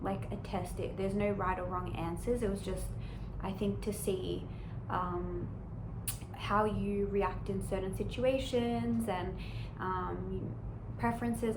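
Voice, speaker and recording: A woman's voice: mid-range voice, vocal fry. A young Australian woman telling a casual, chatty story in a vlog, with many fillers and restarts. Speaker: vlogger, flight attendant. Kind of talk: casual chat. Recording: echoey room with noise.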